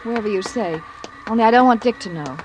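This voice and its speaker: An actress playing an anxious young wife, a former actress, in a 1940s radio drama, with a pleading, hesitant manner. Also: female voice, fairly high pitch, expressive, fast, background noise, close to an everyday voice. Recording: old radio broadcast.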